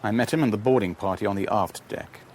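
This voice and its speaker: A man playing a calm, matter-of-fact narrator who recounts events at sea in a radio drama. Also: lowish voice, fast talker, a little hiss or hum, natural voice. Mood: calm, measured. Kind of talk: dramatised first-person narration.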